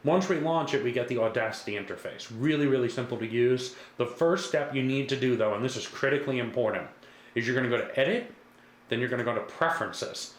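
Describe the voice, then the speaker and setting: A man giving a casual, reassuring step-by-step software tutorial as a product demonstrator. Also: medium pitch, fast. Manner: casual, repetitive, reassuring instructor. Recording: echoey room with faint background noise.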